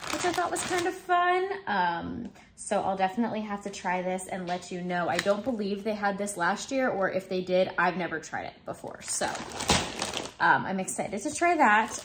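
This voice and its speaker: A woman in her twenties chatting casually in her home kitchen, relaxed and full of ums and likes, filmed by a camera in front of her. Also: medium pitch, background noise. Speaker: vlogger. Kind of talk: casual grocery haul chat. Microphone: camera in front, close framing.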